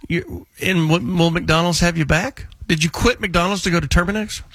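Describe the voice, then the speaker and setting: A man's voice: high-pitched, moderate pace, a bit bright, dry recording. A man hosting a call-in radio show, blunt and teasing as he gives callers direct advice. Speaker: radio talk show host.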